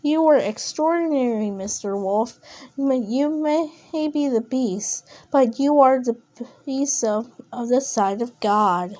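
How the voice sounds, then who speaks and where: An ancient, solemn storybook creature read by a woman. It speaks slowly in a high voice, making grave, measured pronouncements with halting breaks. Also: dark tone. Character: ancient, solemn watcher disguised as a Tasmanian devil.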